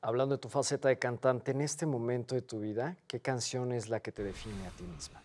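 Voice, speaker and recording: A host asking formal, composed questions in an interview, with short acknowledgements in between. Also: male voice, medium pitch, fairly even intonation, brisk, a little mellow.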